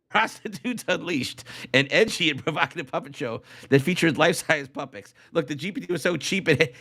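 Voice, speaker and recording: A man's voice: on the high side, a bit bright. A rambling, jokey male podcast host. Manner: rambling, jokey, reads out list items with commentary. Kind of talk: casual comedic podcast chat.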